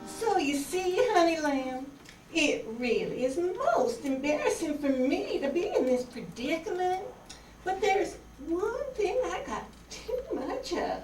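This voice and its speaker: A ditzy, scheming Southern belle for radio, extremely high and swooping. She is coy and breezy and draws her words out slowly, in an echoey room. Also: sing-song, darkish tone, full character voice. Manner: coy, breezy, sing-song. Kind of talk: 1950s radio noir acting on stage. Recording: echoey room, distant mic, background noise.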